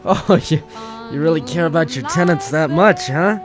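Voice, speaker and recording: An actor playing a weary, lovestruck, wisecracking private eye in an old-time radio detective drama. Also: male voice, fairly high pitch, moderate pace, over music, lightly acted. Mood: groggy, bashful.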